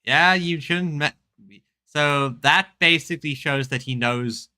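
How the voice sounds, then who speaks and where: A man commentating on a video game as a streamer, reading dialogue aloud and reacting in an animated way. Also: fairly high pitch, unhurried, no room sound.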